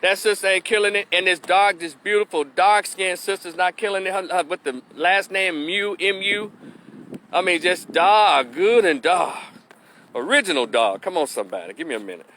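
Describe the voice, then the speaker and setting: A man's voice: high voice, moderate pace, pushed and bright. A man, hosting a casual opinion monologue and preaching his points with emphatic repetition. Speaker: commentator hosting a live opinion stream.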